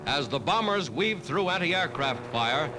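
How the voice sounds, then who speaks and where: A man's voice: on the high side, animated, a little slow. An American man narrating a scripted 1940s newsreel in a dramatic, declamatory announcer style on a vintage soundtrack. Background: orchestral music.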